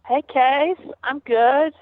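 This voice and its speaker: A man on a phone call, talking haltingly with many fillers and restarts. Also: extremely high pitch, steady tone. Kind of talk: rambling phone conversation. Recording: tinny phone line.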